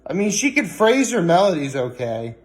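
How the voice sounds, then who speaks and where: A man chatting casually in a solo vlog, rambling and halting as he often corrects himself. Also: high voice, big pitch swings. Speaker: vlogger. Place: indoors at home, on a couch.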